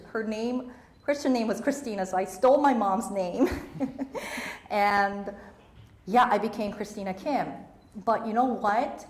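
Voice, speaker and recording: A woman's voice: medium pitch, reverberant. A young woman, a native Korean speaker raised in Southeast Asia, giving an emphatic motivational talk on stage and repeating her key phrases. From Korea, raised in Malaysia and Singapore.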